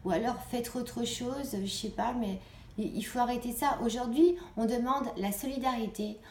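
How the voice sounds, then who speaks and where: High-voiced woman in an echoey room. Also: normal speed. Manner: earnest, exhorting, repeats phrases for emphasis. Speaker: online video host. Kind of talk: informal political video address to viewers. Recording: echoey room, clear background noise.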